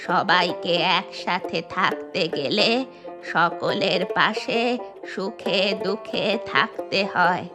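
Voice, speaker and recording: A woman voicing an elderly, repentant and generous village grandmother in a cartoon, speaking slowly and deliberately. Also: on the low side. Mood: earnest. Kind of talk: cartoon story dubbing. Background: banjo-like music.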